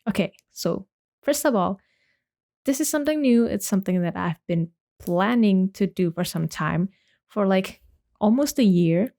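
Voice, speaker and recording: A woman's voice: mid-range voice, lively intonation, measured pace, gravelly, mellow. A young woman from Indonesia hosting her own podcast, talking casually and rambling with lots of "like" and restarts. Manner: chatty, rambling, with many likes and restarts. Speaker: podcast host. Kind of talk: casual solo podcast talk.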